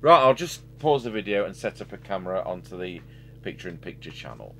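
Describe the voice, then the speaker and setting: A middle-aged man giving a casual, halting repair demonstration, thinking aloud as a technician at work. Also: sits low. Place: indoor workbench. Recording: steady hum and background noise.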